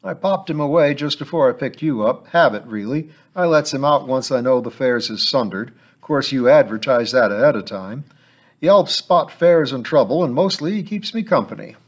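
Guy doing a gruff chatty cabbie, blunt, droppin' his g's, pitched up a bit. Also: lively intonation, normal speed. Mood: chatty, brusque. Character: gruff working-class London cab driver, middle-aged man, blunt and chatty. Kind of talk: audiobook character voice.

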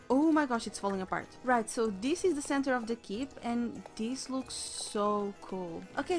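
A woman hosting a game video in casual commentary, slightly hoarse as she is losing her voice, excitable about what she finds. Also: fairly high pitch. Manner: excitable, gasps at new sights. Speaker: gaming channel host.

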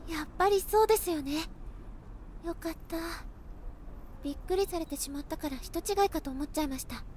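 Woman acting as very high-voiced shy schoolgirl. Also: fairly quick, a little mellow, a little hiss or hum. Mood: shy, earnest. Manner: hesitant, polite. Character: shy, polite, sweet high school girl. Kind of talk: audio drama acting.

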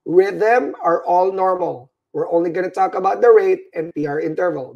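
A man's voice: high-pitched, creaky, a little mellow. A middle-aged Filipino man, English as his second language, teaching an EKG class by webcam, tired and repeating "right?" to check in. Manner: repetitive, checks in with "all right?" and "right?". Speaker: nursing instructor. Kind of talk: livestreamed EKG lecture.

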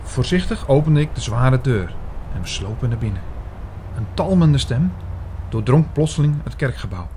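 A man playing an earnest investigator who tells his own story in an audio drama, in a measured way. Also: medium pitch, very animated, warm tone, slight background noise, close to an everyday voice. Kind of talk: scripted audio drama narration.

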